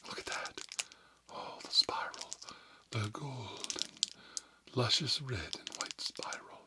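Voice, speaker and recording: A man's voice: mid-range voice, slow, voice pushed up, lightly acted. A man playing an unhinged, candy-obsessed school principal in a comedic ASMR roleplay, muttering melodramatically to himself and often whispering. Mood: tempted, covetous. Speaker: ASMR performer. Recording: loud crinkling and clicking noise.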